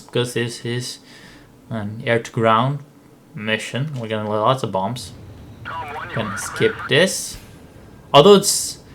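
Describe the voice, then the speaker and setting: A man who speaks as a commentates on a video game playthrough. He talks casually, rambling into explanations and asides at a medium pitch. Music plays behind his voice. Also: fairly bright tone. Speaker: gameplay commentator, channel host.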